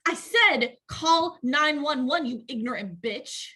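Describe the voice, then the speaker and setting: A woman playing a bitter, jealous, sharp-tongued wife who is curt and scornful, in a screenplay table read. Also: high voice, animated, takes it slowly, exaggerated voice. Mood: panicked, furious.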